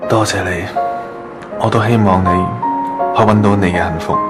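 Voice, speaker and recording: Man acting apologetic young male patient, lowish pitch, Hong Kong Cantonese, considerate radio drama delivery. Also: steady tone, moderate pace, over loud music, natural voice.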